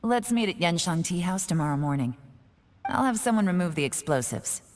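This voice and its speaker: An actress playing a composed female spy who calmly confronts and lectures a culprit. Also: fairly low pitch, brisk, slight background noise, natural voice. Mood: brisk, reassuring. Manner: calm, cutting, composed accusation. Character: cool, sharp-witted female spy interrogator. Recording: game audio with reverb and music.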